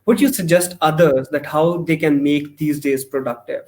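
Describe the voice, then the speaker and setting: A man hosting a podcast interview, asking long, halting questions with many restarts. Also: fairly high pitch, steady tone, a little mellow. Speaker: podcast host.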